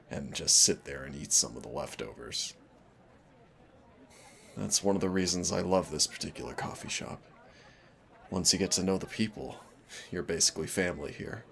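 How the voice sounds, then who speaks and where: A man playing a shy, gentle, awkward guy flirting at a cafe in an audio roleplay, hesitant, nervous and chatty. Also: a bass voice, creaky, exaggerated voice. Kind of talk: soft-spoken audio roleplay.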